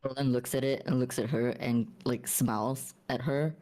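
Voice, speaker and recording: A man's voice: mid-range voice, steady tone, moderate pace, dark tone. A man casually narrating what his character does as a player in a tabletop game. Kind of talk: casual third-person roleplay narration.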